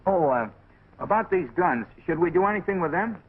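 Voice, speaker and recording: An American actor playing an eager young soldier on an airfield work crew in a 1940s training cartoon. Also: male voice, high-pitched, animated, a little fast. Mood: unsure. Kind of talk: 1940s animated military training film acting. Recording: tinny 1940s film soundtrack with music.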